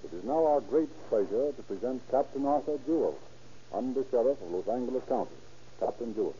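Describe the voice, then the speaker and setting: A man's voice: medium pitch, lively intonation, a little fast, dark tone, slight background noise. A man announcing and narrating in an emphatic, formal 1930s radio style, reading from a script. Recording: dull vintage radio broadcast, music behind. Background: music.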